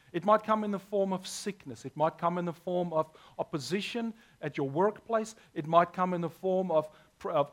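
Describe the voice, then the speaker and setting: A man, hoarse this morning, preaching a sermon as pastor with earnest, emphatic delivery. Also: a little high.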